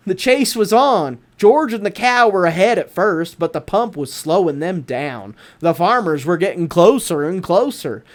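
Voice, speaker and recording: Lively high-pitched woman reading a storybook. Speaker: children's book reader. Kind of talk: animated read-aloud bedtime story narration.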